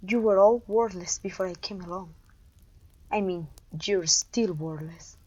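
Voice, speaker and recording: A woman's voice: lowish voice, unhurried, darkish tone, slightly rough, dull audio. A woman playing a haughty, domineering evil queen and sorceress in a scripted roleplay audio, imperious, scornful and theatrical. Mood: scornful, exasperated. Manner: imperious, scornful, theatrical, with sighs and disgusted groans.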